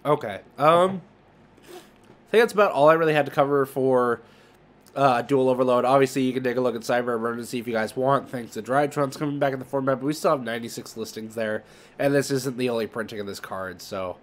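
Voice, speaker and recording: A man's voice: mid-range voice, fairly quick. Casual man thinking aloud as he browses. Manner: rambling, thinking aloud while browsing listings. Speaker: host of a trading card market video. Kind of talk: casual screen-share commentary.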